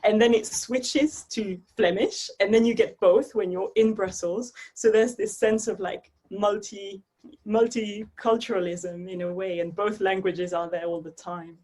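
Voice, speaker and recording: A woman's voice: mid-range voice, fairly even intonation, measured pace, darkish tone. A young woman from Belgium, a native French speaker, talking about her dance training in a friendly, thoughtful interview. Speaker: dance artist guest. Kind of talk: casual interview chat.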